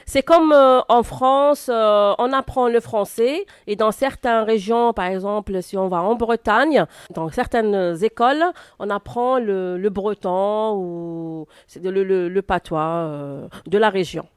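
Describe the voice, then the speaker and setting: Hesitant woman, clear voice. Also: mid-range voice, no room sound, clean studio sound. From Algeria. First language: Arabic. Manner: hesitant, frequent filler pauses. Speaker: interview guest. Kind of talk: answering a class's questions.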